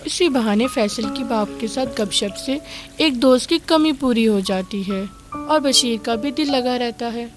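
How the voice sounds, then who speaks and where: A woman plays a careworn wife and mother in a radio drama, her voice pitched high. She is a poor housemaid, caring yet plaintive and gently scolding, with faint music underneath. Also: measured pace, lightly acted. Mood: fondly chiding.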